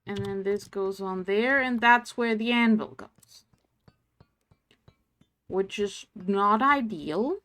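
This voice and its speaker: A German woman speaks English with a blended, hard-to-place accent, chatting to viewers as she plays. She talks slowly and murmurs her way through tasks. Soft music plays faintly behind her. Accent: mixed English accent, no clear origin. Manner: slow, thinking aloud while playing, low-energy murmurs. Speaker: streamer. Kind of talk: casual game livestream chat.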